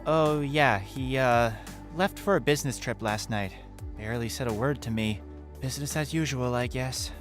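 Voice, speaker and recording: A man's voice: mid-range voice, normal speed, soft background music, lightly acted. A man playing a polite teenage boy who downplays his loneliness in an audio drama. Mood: friendly, resigned. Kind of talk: fan audio drama acting.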